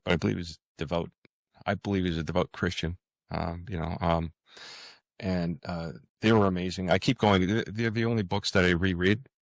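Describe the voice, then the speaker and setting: A man's voice: deep voice, vocal fry, no echo. A man speaking as a writer being interviewed, thinking aloud earnestly and often repeating words as he goes. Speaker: podcast guest, fantasy writer. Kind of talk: interview conversation, reflective.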